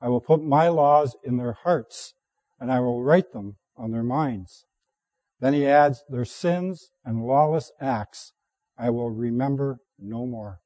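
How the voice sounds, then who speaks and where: A hoarse man preaching a sermon, reading scripture aloud at a measured, deliberate pace. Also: medium pitch, warm tone, no echo.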